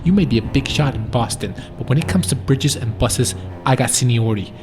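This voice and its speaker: A young man playing a gruff, stubborn bus driver in a school radio play. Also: medium pitch, a little fast, close to an everyday voice. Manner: blunt, grumbling.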